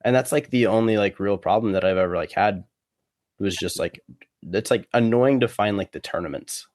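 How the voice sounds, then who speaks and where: Young man, low voice, hesitant rambler. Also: normal speed, a little mellow, dry recording, clean studio sound. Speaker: guest cornhole player. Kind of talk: casual podcast interview chat.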